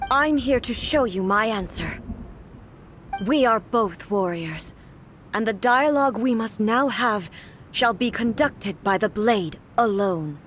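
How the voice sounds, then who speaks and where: A woman voicing a weakened but resolute goddess ruler who speaks in a formal, measured, grave way, in game dialogue. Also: fairly high pitch, lively intonation, fairly bright tone, slight background noise. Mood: stern. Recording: game dialogue over faint music.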